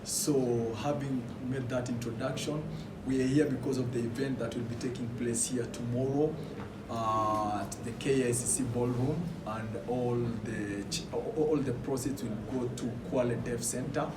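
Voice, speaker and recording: DJ hosting a press event. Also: male voice, medium pitch, fairly even intonation, moderate pace, hardly any pauses. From Kenya. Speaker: DJ and event host. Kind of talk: press conference introduction. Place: large indoor venue. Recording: echoey room, far mic, loud noise.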